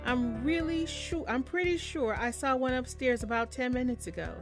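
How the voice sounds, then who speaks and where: A woman's voice: high-pitched, normal speed, faint music behind, voice pushed up. A woman voicing a bratty, pushy girl who whines and bosses people around in a visual novel. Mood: pleading. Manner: whiny and bossy.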